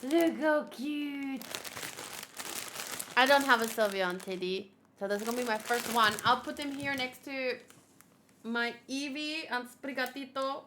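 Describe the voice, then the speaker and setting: Woman aged thirty, high bright voice, slow pace, cutesy rambling livestream chat. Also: background noise. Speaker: streamer, host of a gaming channel. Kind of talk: casual livestream chat while unboxing plush gifts.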